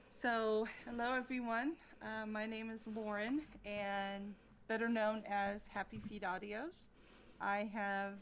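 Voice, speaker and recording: A woman speaking as a podcast guest in casual chat, hesitant and halting. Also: medium pitch, a little hiss or hum. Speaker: podcast guest, ASMR creator.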